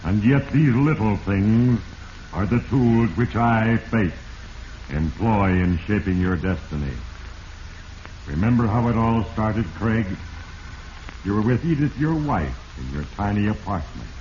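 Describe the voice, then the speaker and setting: Low, ominous Fate, vintage radio. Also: male voice, lively intonation, a little mellow. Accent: American. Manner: ominous, measured, addressing the character as "you". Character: Fate personified, a sinister, all-knowing male narrator. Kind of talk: 1940s radio mystery narration. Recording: muffled, noisy vintage radio recording.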